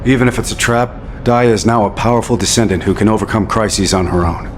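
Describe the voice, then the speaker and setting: A man playing a deep-voiced earnest officer. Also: fast talker, noisy background, lightly acted. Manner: earnest, persuasive, calm. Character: earnest male executive officer, remorseful leader. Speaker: executive officer. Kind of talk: video game cutscene acting.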